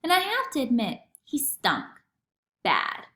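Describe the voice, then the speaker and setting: A woman reads a children's book aloud like a teacher to her class. Her voice is high and swoops expressively through the sentences. The sound is roomy and echoey. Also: unhurried. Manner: animated, acts out dialogue. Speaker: teacher reading aloud for a lesson.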